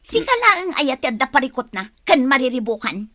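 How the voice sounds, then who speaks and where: A woman's voice: sits high, very animated, a little fast, sharp tone, heavily acted. A woman with an Ilocano accent acting an aggrieved, troubled young pregnant woman in a radio drama. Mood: angry, hurt.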